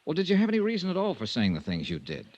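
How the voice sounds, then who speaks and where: An actor playing a self-assured insurance investigator in a 1950s radio detective drama, speaking in a clipped, dry, sardonic way. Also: male voice, on the high side, huge pitch swings, very fast, darkish tone, no echo, lo-fi sound. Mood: pressing, impatient. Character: hard-boiled wry male insurance investigator, self-assured. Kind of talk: 1950s radio detective drama acting and first-person narration.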